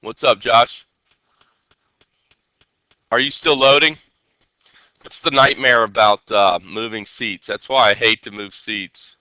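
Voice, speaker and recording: A man's voice: on the low side, fairly even intonation, slow, long pauses, sharp tone, smooth, no echo. A man teaching a live coding class, congested and nasal with a head cold, often checking in with his students.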